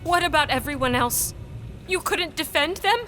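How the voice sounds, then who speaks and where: A woman's voice: high voice, moderate pace, sharp tone, soft background music. An actress playing an earnest, outspoken woman scholar in a period audio drama, with formal diction and emotional intensity. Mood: reproachful. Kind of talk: period audio drama acting and first-person narration.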